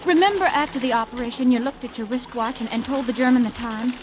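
A woman playing a gentle, observant, earnest young woman in an old-time radio drama, polite and careful, on a noisy old recording. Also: a little high, quick pace, lo-fi sound, close to an everyday voice.

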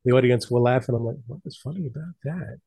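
A man's voice: mid-range voice, fairly quick, creaky, dark tone, breathy edge, no room sound. Man chatting casually, close dry sound. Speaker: presenter of an online old-time radio night. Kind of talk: casual group chat.

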